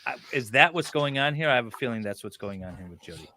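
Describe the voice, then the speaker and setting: A man reading a document aloud haltingly and breaking off for casual commentary. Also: mid-range voice, animated, pushed and bright.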